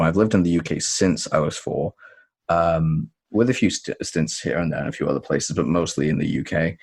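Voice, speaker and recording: A man from Tanzania, talking as a podcast guest in a relaxed, rambling way that often corrects itself. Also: deep voice. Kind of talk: podcast interview conversation.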